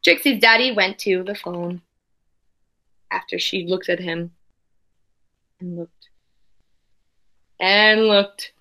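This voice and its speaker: A woman doing an expressive, dramatic children's read-aloud, pausing with asides to explain things simply like a teacher. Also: mid-range voice. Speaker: teacher reading a picture book to young pupils.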